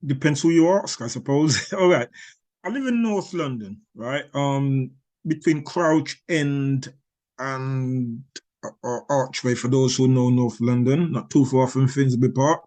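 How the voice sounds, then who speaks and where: A man's voice: mid-range voice, unhurried, mellow. Older Barbados-born man, rambling answers. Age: 60s. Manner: rambling, many restarts and fillers, chuckles. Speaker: author.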